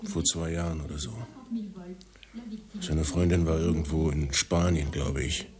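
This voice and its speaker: Very deep-voiced older gangster boss. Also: male voice, little pitch movement, unhurried, a crowd in the background. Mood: resigned. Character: older male gangster boss, a father, musing and resigned. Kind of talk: German radio drama dialogue.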